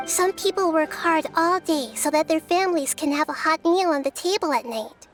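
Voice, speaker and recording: Game role: a wise little girl goddess, high-pitched, earnest and gentle, measured delivery, music underneath. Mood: earnest, encouraging. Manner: measured, thoughtful, articulate, soft-spoken. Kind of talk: scripted video game dialogue.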